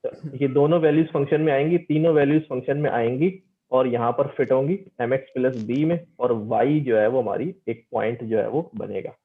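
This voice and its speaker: A man's voice: mid-range voice, moderate pace, few pauses. A man teaching an informal, interactive technical lecture, repeating key phrases and often checking that his students follow. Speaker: teacher giving an online lecture. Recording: tinny phone-like call audio.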